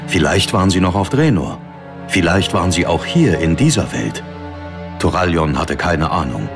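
A man's voice: low voice, animated, normal speed, creaky, music in the background. A man reading scripted dramatic narration for a fantasy audiobook.

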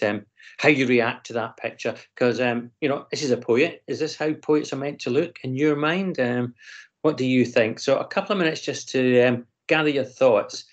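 A man's voice: medium pitch, fairly bright tone. Hesitant man teaching over a video call. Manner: hesitant, frequent ums and restarts. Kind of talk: online class discussion. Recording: slightly dull video call audio.

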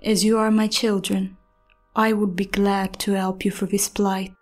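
A woman playing a serene, kindly nature goddess in a fantasy audio drama, gracious and unhurried. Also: medium pitch, flat delivery, soft background music, lightly acted.

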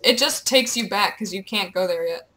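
A woman's voice: mid-range voice, moderate pace, sharp tone. A young woman giving playful, giggly casual commentary as she plays a video game and reads its dialogue aloud. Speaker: gamer recording a playthrough.

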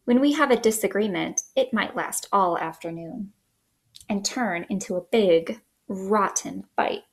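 A woman in her thirties hosting a children's story time, reading aloud in an expressive, sing-song way at home. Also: mid-range voice, measured pace, poor audio. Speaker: children's librarian, storytime host. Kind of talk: picture book read-aloud for young children. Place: small living room indoors.

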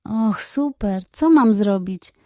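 A woman voicing a naive, proud and excitable hen with a thin squeaky voice in a children's storybook. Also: slow pace, no room sound, studio quality. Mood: proud, eager.

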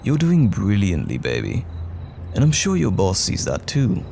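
Male actor as gentle affectionate boyfriend, audio roleplay, lowish creaky voice, comforting and worried, intimate bedside tone. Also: wildly swooping pitch, a little slow, a little hiss or hum, heavily acted. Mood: reassuring. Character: tender, worried boyfriend comforting a troubled partner at night.

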